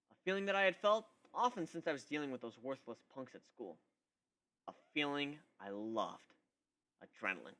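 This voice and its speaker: A man voicing a cocky, introspective young male griffin in an expressive dramatic story reading. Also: on the high side. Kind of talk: dramatic first-person fan fiction reading.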